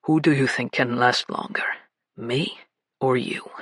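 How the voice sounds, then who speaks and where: A woman voicing a commanding, possessive grown man who speaks as a low, coaxing murmur. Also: steady tone, a little slow, slightly rough, no echo, studio quality, heavily acted.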